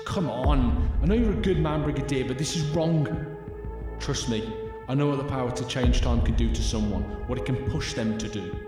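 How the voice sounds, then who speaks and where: A man's voice: mid-range voice, moderate pace, natural voice. A man acting an earnest, desperate time-travelling alien hero in an audio drama, imploring and emphatic. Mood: urgent warning. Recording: very echoey hall, loud music.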